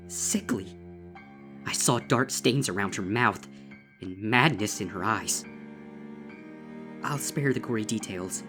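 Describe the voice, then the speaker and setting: A man's voice: medium pitch, fairly bright tone. Traumatized young female unicorn, read by a man, grave and desperate horror narration with music underneath. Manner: grave, deliberate, intense. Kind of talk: dramatic first-person horror story reading.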